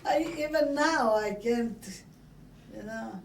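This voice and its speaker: An older woman from Italy with an Italian accent, reminiscing casually and haltingly in an interview as she tells stories from her life. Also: a little high. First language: Italian dialect. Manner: halting, chatty storytelling. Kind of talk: casual oral-history reminiscing. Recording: echoey room, distant mic, background noise.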